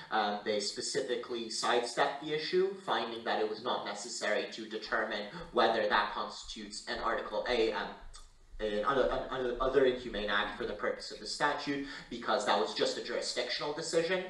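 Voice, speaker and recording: A man speaking as a legal panelist, giving careful, measured and formal explanations with halting stretches. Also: fairly low pitch, fairly bright tone. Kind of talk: explanatory panel remarks on international law. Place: indoor conference room. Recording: roomy echoing hall, distant mic.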